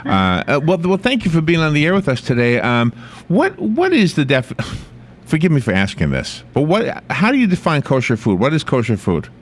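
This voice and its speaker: A man's voice: a little high, huge pitch swings, normal speed. A man from the United States hosting a local radio interview, chatty and teasing, with many restarts. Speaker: radio host.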